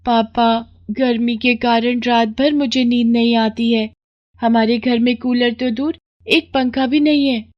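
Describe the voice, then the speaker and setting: Casting: small girl, about six, high voice, whiny and insistent, for a cartoon moral tale played by an adult woman. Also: steady tone, measured pace, dry recording, lo-fi sound, natural voice. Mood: pleading, insistent. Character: pleading, insistent six-year-old girl, poor labourer's daughter. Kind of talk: animated moral story voice acting.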